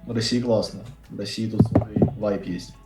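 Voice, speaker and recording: Blunt eighteen-year-old man, quick delivery, roomy, distant webcam sound. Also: on the low side, steady tone, over loud music. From Saint Petersburg, Russia. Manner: quick, blunt, swears casually. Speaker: university economics student. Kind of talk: casual video chat. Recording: echoey room, far from the mic.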